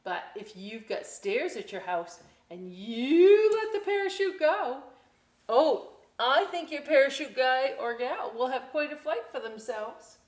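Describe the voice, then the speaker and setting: A woman presenting a children's story time, reading aloud with chatty, animated, folksy asides straight to young viewers. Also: high-pitched, a little slow. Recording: roomy, distant mic with faint hiss.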